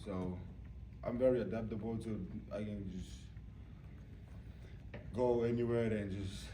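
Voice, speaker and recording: Young man from Tonga, twenties, lowish voice, halting media answers, echoey room picked up from a distance. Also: a little hiss or hum. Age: 20s. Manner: relaxed, halting, with many fillers. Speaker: college football player. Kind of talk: press conference answers. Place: press room.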